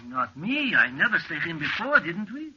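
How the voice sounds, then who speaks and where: An actor playing a frightened, stubborn desert helper in a 1940s radio drama, speaking emphatic broken English mixed with Spanish in a Mexican accent. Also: male voice, fairly high pitch, sing-song, moderate pace, sharp tone, slight background noise, full character voice. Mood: wary, adamant. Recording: muffled vintage radio recording.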